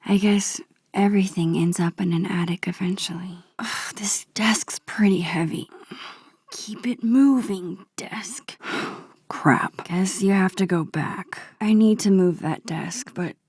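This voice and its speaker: A woman playing a soft-spoken, wistful, nerdy young girl in a video game, musing to herself in a breathy, hushed, understated and dryly playful way. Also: mid-range voice, slow, no echo, studio quality. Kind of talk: video game character monologue.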